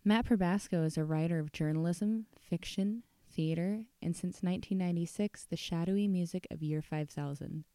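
A woman's voice: fairly low pitch, normal speed, hardly any pauses, warm tone, smooth, dry recording. A woman hosting a storytelling podcast, speaking in an informal, candid way. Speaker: podcast host and story reader. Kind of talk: casual chat and storytelling narration.

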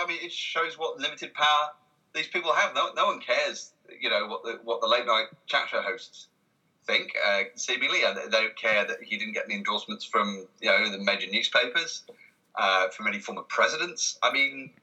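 Man, very bright voice, hesitant rambling talk. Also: mid-range voice, rough recording. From Britain. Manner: hesitant, rambling, self-interrupting delivery. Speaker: interview guest, comedy writer. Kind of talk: casual conversational interview.

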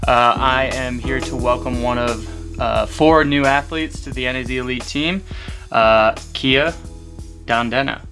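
A man's voice: mid-range voice, fairly even intonation, measured pace, over music. A man hosting a podcast, interviewing an athlete in a casual, conversational style.

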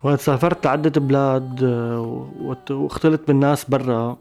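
Gravelly fast-talking Syrian man. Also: mid-range voice, steady tone, over music. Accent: Syrian Arabic. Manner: thoughtful, pauses and restarts mid-phrase. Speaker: musician interviewed. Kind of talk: reflective interview talk.

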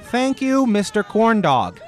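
A woman's voice: medium pitch, very animated, slow, a little mellow, soft background music, a faint crowd. A woman playing an insistent, overdramatic rabbit news reporter in a comic radio play. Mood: formal sign-off. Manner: urgent, probing, overdramatic.

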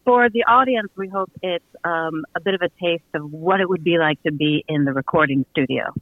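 A man with a fairly high voice talks as a guest on a casual radio interview. He feels his way through sentences in halting pieces. The sound is close and dry. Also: no echo, narrow, tinny audio. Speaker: audio theater producer and guest.

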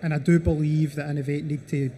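A man's voice: fairly high pitch, level tone, fast talker, dark tone, slight background noise. A man speaking as a panelist in a panel discussion, leaning on "you know" as filler. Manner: repeats "you know" often.

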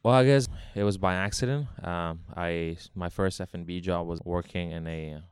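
A man's voice: low-pitched, moderate pace, clear, resonant tone, no echo. A man from Hong Kong talking casually and telling anecdotes as a bar founder being interviewed.

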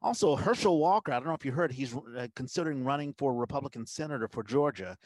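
A man's voice: medium pitch, lively intonation, fast, darkish tone, dry recording. Man hosting a chatty podcast, dry sound. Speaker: podcast host. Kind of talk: casual panel chat and interview.

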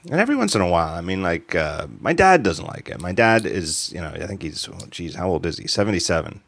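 A man's voice: deep voice, sing-song, fast talker, vocal fry, a bit bright. A man in his forties hosting a podcast, chatting casually and rambling with restarts. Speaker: podcast host. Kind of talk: casual chat.

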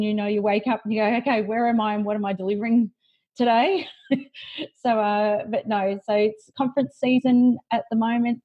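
A woman's voice: mid-range voice, dark tone, muffled recording. An Australian-accented woman chatting informally as a podcast guest, telling stories in a casual way. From country New South Wales, Australia. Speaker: podcast guest, branding expert. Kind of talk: casual conversational storytelling.

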